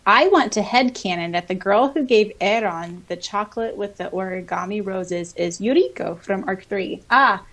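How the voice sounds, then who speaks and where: A woman co-hosting a podcast, reading comments aloud in a casual, expressive, giggly way. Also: mid-range voice, normal speed, hardly any pauses. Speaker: podcast co-host.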